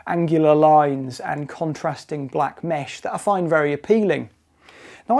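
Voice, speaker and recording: A man's voice: fairly high pitch, a little mellow. A man presenting in an explanatory video about vintage equipment.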